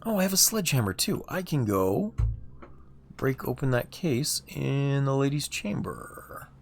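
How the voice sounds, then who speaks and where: A man streaming a video game, talking through his moves in a low-key, muttering way. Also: expressive, a little slow, gravelly, faint music behind. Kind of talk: casual gameplay commentary.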